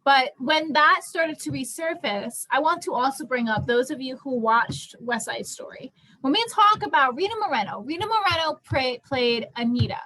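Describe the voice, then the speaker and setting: High-voiced young woman leading a discussion. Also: lively intonation, normal speed, sharp tone, rough recording. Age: young adult. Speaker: student theatre group discussion leader. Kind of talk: casual group discussion.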